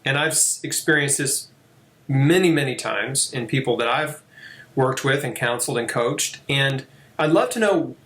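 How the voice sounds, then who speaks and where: A man hosting an interview, chatty and relaxed, with many fillers. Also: mid-range voice. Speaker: podcast host. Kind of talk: conversational interview. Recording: echoey room.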